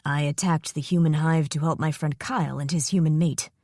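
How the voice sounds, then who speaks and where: A woman's voice: low voice, fairly even intonation, brisk, warm tone, dry recording, studio quality, voice pushed down. Woman voicing a solemn male dragon. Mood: tender. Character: male dragon, possessive mate, calm and solemn.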